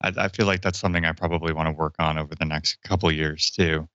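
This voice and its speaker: A man's voice: extremely low pitch, fairly even intonation, fast talker, a bit bright, no echo. A man co-hosting a podcast, making direct, thoughtful remarks in casual panel chat. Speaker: podcast co-host. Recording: dry, dull lo-fi audio.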